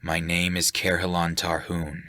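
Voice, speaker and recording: Tarhoon, a man doing a low, curt scaly warrior. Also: fairly even intonation, moderate pace, a bit bright, no echo, studio quality. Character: grim scaly male warrior, terse and displeased.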